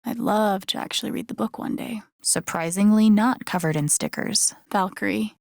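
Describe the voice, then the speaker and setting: Actress doing a young woman game lead, wry n understated, kinda awkward, muttering to herself, creaky voice, close dry sound. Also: mid-range voice, normal speed, no room sound, lightly acted. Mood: wry, amused. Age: young adult. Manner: dry, understated, self-talking wit. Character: wry, warm-hearted, slightly awkward young woman exploring town. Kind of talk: naturalistic video game acting, muttered inner monologue.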